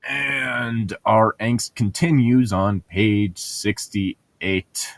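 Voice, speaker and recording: A man's voice: fairly low pitch, drawn-out words, dry recording. A man hosting a podcast, reading a novel aloud in a casual group chat, with a sarcastic, irreverent, crude sense of humour.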